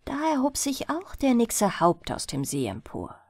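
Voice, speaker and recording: A woman's voice: on the high side, a little slow, no echo, clean studio sound. A woman reading a bedtime fairy tale expressively as an audiobook narrator. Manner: expressive storytelling.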